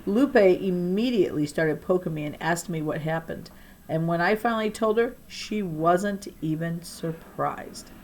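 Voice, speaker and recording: Slow-paced woman reading a story aloud. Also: lowish voice, a little mellow, slight background noise, poor audio. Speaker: audiobook-style reader of a children's novel. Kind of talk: expressive read-aloud narration.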